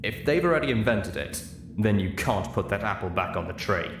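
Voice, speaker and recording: Man as steady pony soldier in echoey room. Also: on the low side, noisy background, natural voice. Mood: calm, concerned. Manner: slow, reasoning. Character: male pony soldier, steady, reasonable, loving.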